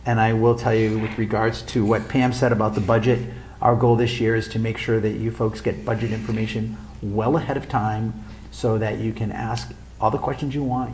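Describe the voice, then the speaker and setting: A man's voice: lowish voice, creaky, a little mellow, a little hiss or hum, poor audio. A man chairing a town council meeting, speaking in an earnest, informal way and often repeating himself. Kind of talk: public meeting remarks.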